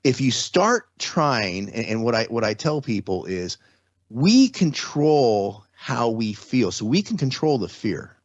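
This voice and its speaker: A middle-aged man in relaxed conversation who rambles and restarts his sentences as he works toward his point. The recording is dry and a little dull. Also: medium pitch, takes it slowly, no room sound. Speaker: podcast guest. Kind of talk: casual conversation. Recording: slightly dull, dry sound.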